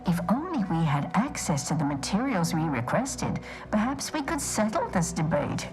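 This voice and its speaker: Pedantic woman scholar, lowish voice, formal and precise, game dialogue, music underneath. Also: sing-song, moderate pace. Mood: prim, measured. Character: prim, pedantic female scholar of a stoic order. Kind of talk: video game dialogue acting.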